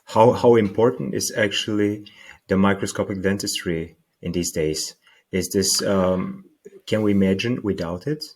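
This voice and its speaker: A low-voiced man with a husky edge, interviewing a guest. He asks his questions haltingly and keeps giving short yeses while he listens. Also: steady tone. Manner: halting questions, frequent brief agreement.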